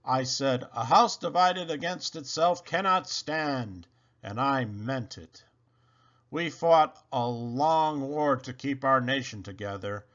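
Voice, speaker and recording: A man's voice: medium pitch, takes it slowly, a bit bright, lo-fi sound. A man voicing a tall, solemn schoolboy playing a president, with a firm oratorical manner, in a storybook.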